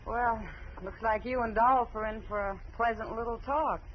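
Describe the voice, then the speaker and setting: A woman's voice: fairly high pitch, fairly even intonation, moderate pace, slight background noise, lightly acted. An actress playing a tough, outspoken saloon woman in a 1950s Western radio drama, dry and plainspoken. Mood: wry, candid. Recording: muffled vintage radio recording.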